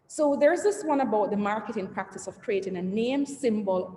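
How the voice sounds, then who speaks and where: A woman's voice: mid-range voice, dark tone. Woman presenting in a roomy, echoey space. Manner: conversational, asks the audience and reads the chat aloud, trails lists with "et cetera". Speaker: company CEO giving a branding presentation. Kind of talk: interactive online presentation to entrepreneurs. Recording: roomy reverberant sound with faint noise.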